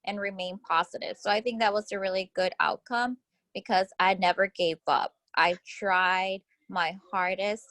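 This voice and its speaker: A woman's voice: mid-range voice, steady tone, moderate pace, a bit bright, no echo. A young woman from Houston whose first language is Spanish, answering interview questions in an earnest, reflective way with small restarts. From Houston, Texas.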